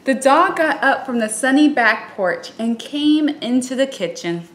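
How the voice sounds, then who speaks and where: A young woman reads a picture book aloud as a teacher would, slowly and expressively. Her voice is fairly high. The home room is echoey, with faint background noise. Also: animated. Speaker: teacher reading aloud to children. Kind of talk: storybook read-aloud with casual asides. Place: small room at home, by a window.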